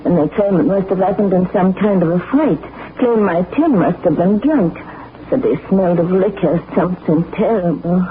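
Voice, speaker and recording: An actress playing a humble, grieving widow in a 1940s radio drama, tearful and deferential. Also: female voice, fairly low pitch, normal speed, lightly acted. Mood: pleading. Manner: tearful, deferential, says sir constantly. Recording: tinny old radio broadcast with hiss.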